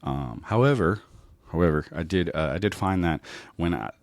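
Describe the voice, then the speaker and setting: A man's voice: sits low, animated, normal speed, dry recording. A man talking casually and telling personal stories in a rambling way, often repeating his phrases. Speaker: podcast host and beginner stand-up comic.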